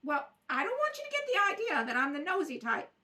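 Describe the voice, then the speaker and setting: A woman's voice: very high voice, big pitch swings, fairly quick, pushed and bright, pitched above the performer's own voice, full character voice. A woman playing a nosy, pushy, gossipy neighbor in a radio crime drama, recorded at home on a distant microphone. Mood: indignant, chatty. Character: nosy, indignant, chatty older woman neighbor making a police complaint.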